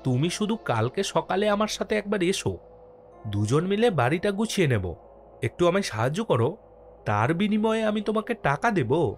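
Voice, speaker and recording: A man acts out an easygoing village postmaster telling his own ghost story in a horror cartoon. His voice is fairly high and very lively, with dramatic, expressive delivery. Music plays under him. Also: moderate pace. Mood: mildly annoyed. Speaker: first-person narrator and lead character.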